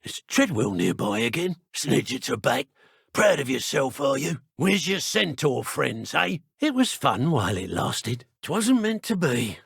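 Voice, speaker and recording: An actor playing a greedy, menacing dark wizard thug in a video game, with a rough, taunting British delivery. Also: male voice, medium pitch, big pitch swings, measured pace, dry recording, studio quality, heavily acted. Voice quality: gravelly, husky. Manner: taunting, rough colloquial speech. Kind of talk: video game villain voice lines.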